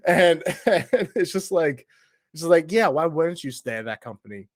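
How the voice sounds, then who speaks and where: A man's voice: on the high side, animated, normal speed, no echo, studio quality. A man in a casual, slangy podcast chat, rambling and blunt, with lots of filler words. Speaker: podcast guest reviewing a TV show.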